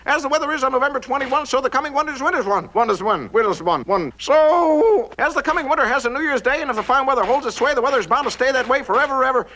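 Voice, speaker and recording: An actor playing an excitable man who rattles off weather rhymes in a sing-song patter, speeding up and tripping over his words. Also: extremely high pitch, quick pace, few pauses, heavily acted. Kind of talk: 1950s animated film voice acting. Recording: dull lo-fi old film sound with hiss.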